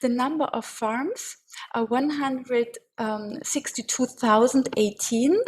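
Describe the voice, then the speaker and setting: Slow, careful Austrian-accented woman presenter. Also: fairly high pitch, fairly even intonation, husky. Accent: Austrian German. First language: German. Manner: hesitant, many ums and restarts, careful. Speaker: webinar presenter. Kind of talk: slide presentation on webinar.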